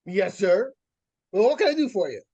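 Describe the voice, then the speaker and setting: A man's voice: high-pitched, sing-song, moderate pace, darkish tone, no room sound, muffled recording, heavily acted. A man playing an irascible, blustering neighbor in an old-time radio suspense play. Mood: affable, innocent. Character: angry, blustering, hard-of-hearing grown man, grumpy neighbor.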